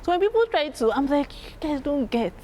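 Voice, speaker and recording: Lively woman telling family stories. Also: sits high, fairly quick, a little hiss or hum. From Nigeria. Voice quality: strong, loud voice. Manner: animated, laughing, acts out family voices. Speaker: interview guest. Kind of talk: candid storytelling.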